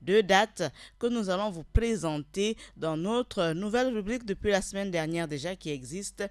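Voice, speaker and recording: Casting note: woman, radio host, formal and deliberate delivery, dry studio sound, mid-range voice. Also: medium pitch, expressive, dry recording. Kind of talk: radio show presenting.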